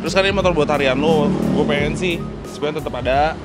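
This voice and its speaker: Young man, vlog host, teasing casual slang, slightly high voice, outdoor garage, music behind. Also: fast talker. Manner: joking, teasing, lively slang. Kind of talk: casual chat.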